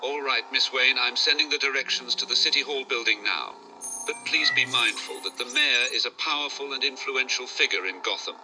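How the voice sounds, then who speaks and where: Man playing a crisp-edged, courteous butler. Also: mid-range voice, pushed and bright, soft background music, poor audio. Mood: protective. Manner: formal, polite, measured advice. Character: courteous male butler, advising and protective. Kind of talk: fan audio drama dialogue.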